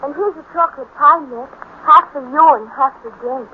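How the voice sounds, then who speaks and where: An actress playing a fond, effusive woman full of excitement in a 1950s radio drama. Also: high-pitched, normal speed, phone-quality sound, lightly acted. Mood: gushing. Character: fond, gushing woman welcoming young heroes. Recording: noisy, phone-thin 1950s radio recording.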